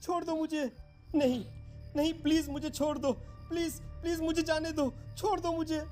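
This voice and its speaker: A man's voice: extremely high pitch, level tone, moderate pace, a little mellow, faint music behind. A man playing a terrified victim who stammers and begs for his life in a horror cartoon. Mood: terrified, pleading. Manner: stammering, repeating pleas.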